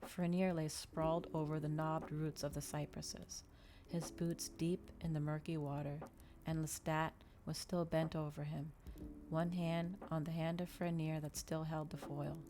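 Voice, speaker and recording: A woman reading a story aloud in ASMR style, soft-spoken and measured, as a streamer narrating a novel. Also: low-pitched, flat delivery, noisy background.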